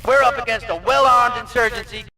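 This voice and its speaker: An actor playing a stern, sardonic, gung-ho American Marine commander delivering a rallying military briefing in a video game. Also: male voice, very high-pitched, fairly even intonation, fairly quick, sharp tone, full character voice. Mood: stern, rousing.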